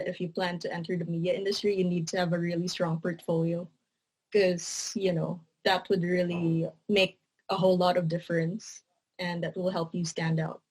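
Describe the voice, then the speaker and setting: A woman's voice: fairly low pitch, husky, low-quality recording. A young adult woman with a Filipino English accent, talking about her writing career in an interview. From Philippines. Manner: relaxed, earnest, says "you know" a lot. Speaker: guest, writer and content editor. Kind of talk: casual interview answers.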